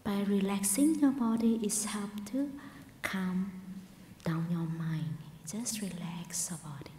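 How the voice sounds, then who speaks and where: Female meditation guide: very gentle and breathy, slow delivery, recorded in an echoey hall with faint noise. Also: mid-range voice. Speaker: meditation teacher, Buddhist nun. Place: large meditation hall at a Buddhist centre. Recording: roomy hall reverb, faint background noise.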